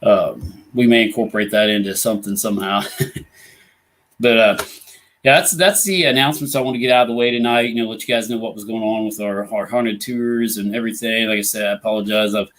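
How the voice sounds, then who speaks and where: A man's voice: fairly low pitch, fairly even intonation, brisk, fairly bright tone. A Midwestern American man hosting a casual live ghost hunting talk show, rambling with lots of "you know" fillers and just getting over an illness. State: recovering from illness, voice coming back.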